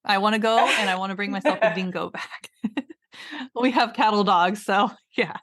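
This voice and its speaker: A woman's voice: mid-range voice, normal speed, a bit bright. A woman hosting a podcast, reading a polished intro and then chatting in an upbeat, playful way. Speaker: podcast host. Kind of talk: scripted intro then casual chat.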